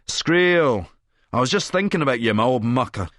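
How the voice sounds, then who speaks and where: A man's voice: medium pitch, animated, normal speed, fairly bright tone, no room sound, clean studio sound, pitched above the performer's own voice, close to an everyday voice. A man voicing a cocky, scheming, drug-fuelled Scottish wide boy with sly, cheeky patter in an audiobook. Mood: cocky, manic.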